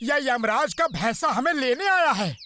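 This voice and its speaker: An actor voicing a plump, gluttonous, bumbling cartoon man, loud, excitable and comic. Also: high voice, moderate pace. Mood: puzzled, surprised. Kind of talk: exaggerated Hindi cartoon voice acting. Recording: loud music behind.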